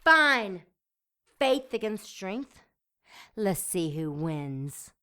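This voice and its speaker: A woman's voice: medium pitch, sing-song, heavy background noise. An actress playing a taunting, seductive female demon in an audio drama, mocking and coldly murderous. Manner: slow, mocking, menacing.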